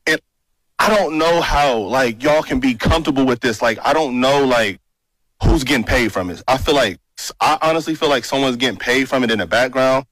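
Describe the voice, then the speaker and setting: A man's voice: mid-range voice, moderate pace, fairly bright tone, no echo, poor audio. A young man speaking at a public meeting into a podium mic, frustrated and rambling with lots of fillers. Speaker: resident speaking at a town hall. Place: indoor town hall meeting.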